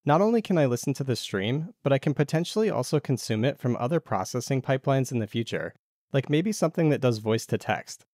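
A man's voice: mid-range voice, sing-song, brisk, darkish tone, no room sound, studio quality. A man narrating a casual DIY tech tutorial as the video's host.

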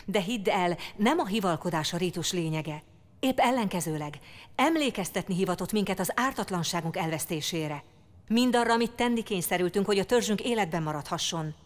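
Actress doing a strict formal council lady, admonishing, low-ish, lots of reverb, radio drama. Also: expressive, close to an everyday voice. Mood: solemn. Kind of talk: audio drama acting. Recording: long reverb of a large hall.

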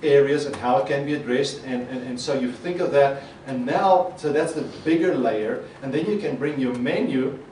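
Middle-aged man, Afrikaans speaker, animated conference talk, roomy hall, voice a little distant. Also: medium pitch, normal speed, few pauses, slight background noise. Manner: animated, gestures a lot, acts out quoted reactions. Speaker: conference presenter. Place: conference hall with projector screen. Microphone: podium mic. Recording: echoey hall, mic a bit far.

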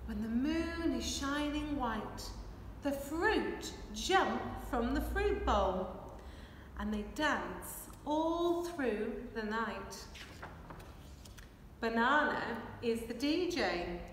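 Woman, high-pitched voice, children's storyteller, expressive rhythmic read-aloud, very slow pace, distant microphone in a reverberant hall. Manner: expressive, measured rhythmic delivery while signing. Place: large hard-walled hall with brick and plaster. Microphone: camera a few metres away. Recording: echoey hall, distant microphone, faint noise.

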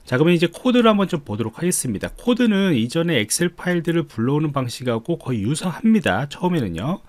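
A man's voice: fairly high pitch, very animated, fast talker, no room sound. A man giving a screencast coding tutorial as an instructor, explaining politely step by step. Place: indoors, voice-over on screen recording.

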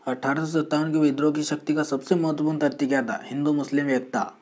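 Quiz voice-over: a man, somewhat high voice, flat delivery, steady question-and-answer reading. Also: quick pace. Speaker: quiz presenter.

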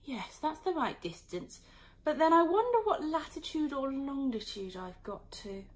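A woman reader puts on a young girl from a storybook. Her voice is high and swoops with animation as she chatters and muses to herself, full of curiosity. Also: very animated, moderate pace. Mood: pondering. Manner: wondering, musing, talking to herself.

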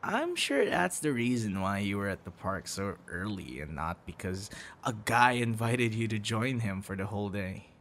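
A man's voice: fairly low pitch, big pitch swings, moderate pace, fairly bright tone, a little hiss or hum. A young man acting a teasing, friendly boyfriend figure in an intimate, casual audio roleplay. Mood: casual, playful. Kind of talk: ASMR boyfriend roleplay, intimate one-sided dialogue.

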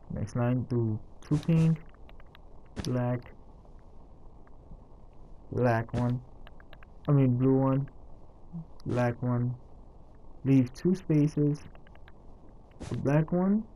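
A man narrating a casual step-by-step game tutorial in a halting, instructional way, counting out items as he goes. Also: mid-range voice, mellow, low-quality recording. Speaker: tutorial host.

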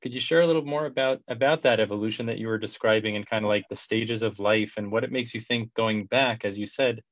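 A man in his thirties hosting an online conversation, warm and effusive, repeating words as he builds his sentences. His voice sits low and reaches the ear dry and a little muffled through a headset on a video call. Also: fast, no room sound. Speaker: host.

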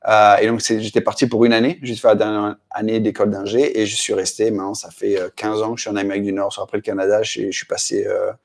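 Relaxed low-voiced man in a living room. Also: fast, vocal fry, hardly any pauses. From France. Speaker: guest. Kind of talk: casual interview chat. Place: apartment living room at night, indoors.

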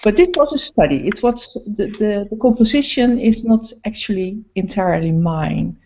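A middle-aged woman painter speaking slowly and hesitantly about her paintings, with many pauses, on a video call. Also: mid-range voice, darkish tone. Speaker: painter guest. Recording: muffled video call audio.

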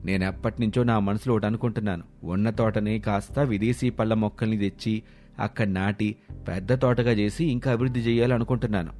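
Man playing a low-voiced, stern village father. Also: steady tone, fairly quick, darkish tone, soft background music, lightly acted. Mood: worried. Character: middle-aged village father, stern, worried, fond. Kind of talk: dramatised moral story acting.

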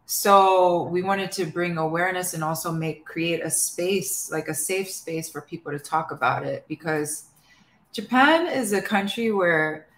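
A young woman, a guest musician, chatting casually and hesitantly about mental health, with many pauses and restarts. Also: fairly low pitch, echoey room. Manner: soft-spoken, hesitant, many fillers and restarts. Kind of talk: casual chat. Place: living room at home.

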